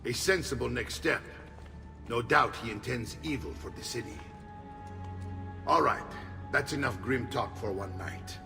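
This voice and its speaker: Gravelly, hearty Italian uncle. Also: male voice, medium pitch, unhurried, long pauses. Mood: calm, firm. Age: middle-aged. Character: gruff, hearty Italian uncle, a seasoned fighting leader. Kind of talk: video game cutscene acting. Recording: loud orchestral game music behind.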